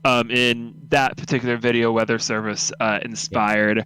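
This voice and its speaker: A man's voice: medium pitch, little pitch movement, moderate pace, bright, forceful tone, poor audio. A young man who hosts and narrates an amateur radio drama, moving between casual chat and scripted story reading.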